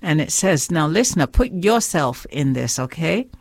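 A woman hosts a faith radio show, talking slowly and earnestly as she preaches and reads scripture. Her voice sits a little low. The sound is dry and close. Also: big pitch swings, creaky, dry recording.

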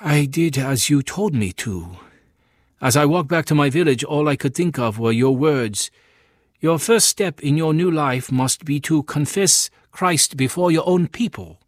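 A man's voice: a little high, lively intonation, unhurried, no room sound, clean studio sound, voice pushed up. A man voicing an earnest, shaken young male convert telling his story.

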